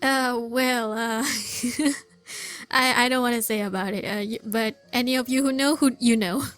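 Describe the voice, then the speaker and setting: A woman's voice: on the high side, unhurried, a bit bright, soft background music. A woman giving casual gameplay commentary, hesitant and stammering, often repeating her words. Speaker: game streamer reacting to story dialogue.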